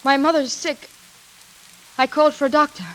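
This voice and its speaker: A woman playing a frightened, distraught young daughter in a 1940s radio thriller, with breathy, dramatic delivery. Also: sits high, fairly even intonation, moderate pace, lightly acted, rough recording. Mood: pleading. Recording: vintage radio recording over rain and music.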